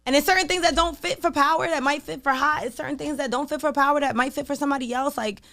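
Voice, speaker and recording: A young woman with a New York accent chatting casually as an interview guest, talking in an animated way with lots of "like" fillers. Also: high-pitched, fast. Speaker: guest, radio sales executive.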